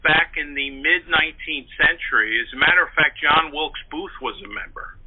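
An older man, an interview guest, reminiscing in an articulate, measured and wordy storytelling manner. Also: fairly high pitch, heavy background noise. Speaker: interview guest, journalist and historian. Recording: thin, narrow telephone-like line.

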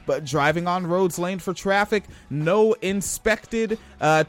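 A young man from Washington State talks in a high, light voice, reading odd news stories and breaking off into rambling, profane asides. He laughs often and keeps things loose and casual. Faint music sits in the background. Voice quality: high-pitched voice. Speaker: podcast host.

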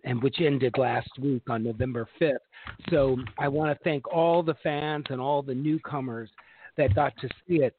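A man co-hosting a casual talk show, telling long animated stories about his stage work. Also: mid-range voice, dry recording. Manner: animated, long-winded storytelling. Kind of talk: casual chat. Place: Los Angeles. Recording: tinny phone-like line, dry.

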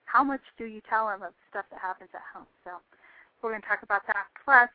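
Slightly raspy woman hosting, dry muffled sound. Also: mid-range voice, fairly quick, dry recording. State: recovering voice, prone to coughing. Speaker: radio show host. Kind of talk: casual live chat. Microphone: calling in by phone. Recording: dull, dry call-in audio.

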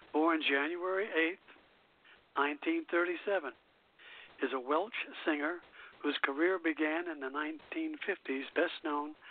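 A man hosting an internet radio show, reading facts about songs from notes and stumbling now and then over names. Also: fairly high pitch. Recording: tinny phone-line sound.

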